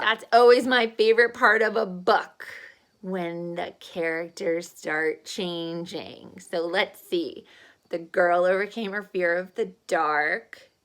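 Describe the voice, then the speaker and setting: A woman teacher reading a children's story aloud in an expressive, animated way, as if to young listeners. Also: fairly low pitch. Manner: animated, pausing to ask listeners questions. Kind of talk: expressive storybook read-aloud with think-aloud questions.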